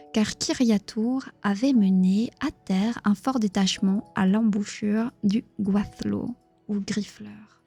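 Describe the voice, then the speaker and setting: A woman with a Belgian accent reading a book aloud on a live stream in a hushed, near-whispering voice, stopping to chat with her viewers. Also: medium pitch, slightly rough. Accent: Belgian French. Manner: reads narration in a hushed, near-whispered voice, chats plainly with viewers.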